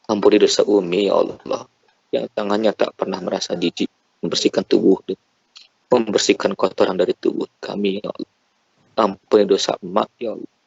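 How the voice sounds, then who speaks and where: A man's voice: lowish voice, vocal fry, a little mellow, slightly rough, dry recording, lo-fi sound. A man leading a congregation in an emotional prayer, pleading fervently with repeated invocations. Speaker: prayer leader.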